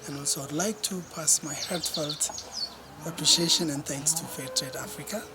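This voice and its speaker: A man from Ethiopia with an Ethiopian accent giving a halting testimonial as a cooperative representative, often searching for words. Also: fairly high pitch, very noisy. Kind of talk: impromptu testimonial.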